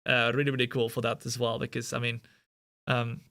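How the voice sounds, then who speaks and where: Energetic male streamer. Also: medium pitch, brisk. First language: Dutch. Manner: energetic, hype shout-outs, rambling asides. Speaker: sim racing streamer. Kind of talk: live stream chat while gaming.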